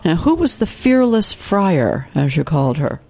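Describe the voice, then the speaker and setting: A woman's voice: low-pitched, sing-song, a little slow, darkish tone, breathy edge. A woman hosting a radio interview, asking questions in a casual way with some fillers. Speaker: radio host.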